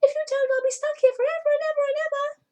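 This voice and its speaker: A woman voicing a naughty little male cat with a high, squeaky, pleading voice. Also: level tone, very fast, a little mellow.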